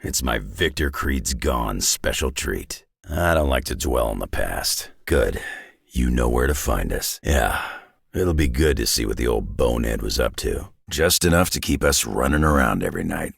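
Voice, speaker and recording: A man playing a gruff, world-weary, sardonic middle-aged superhero brawler in a video game, with a raspy growl and a blunt, wry drawl. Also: rumbling low, lively intonation, normal speed, dry recording, studio quality, exaggerated voice. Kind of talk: video game character voice lines.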